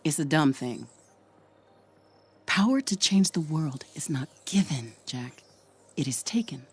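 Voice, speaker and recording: A woman voicing a cold, cynical villain in a video game, dismissive and lecturing in a low husky voice. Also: lively intonation, unhurried, heavily acted. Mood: quietly menacing. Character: cold, cynical, ruthless female philosopher villain.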